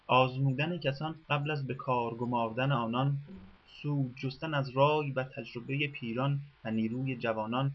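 A man reading a scripted radio documentary in a measured, formal way. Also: medium pitch, muffled recording. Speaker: narrator.

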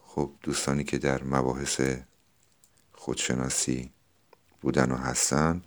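An extremely deep male voice. He speaks as a podcast host presenting classical poetry, moving between recitation and informal explanation. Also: little pitch movement.

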